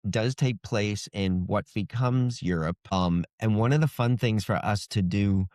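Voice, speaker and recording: A man's voice: fairly low pitch, a little slow, darkish tone, no echo, clean studio sound. A man talking casually as an interviewed author, explaining things in a wry, good-humored way. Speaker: fantasy author being interviewed.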